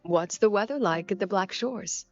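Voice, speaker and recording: An actress playing a polite, reserved, overworked academy president in a video game. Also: female voice, mid-range voice, normal speed, a little mellow, natural voice. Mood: weary, friendly. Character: composed, courteous, overworked female academy president. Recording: dull lo-fi game audio, faint music.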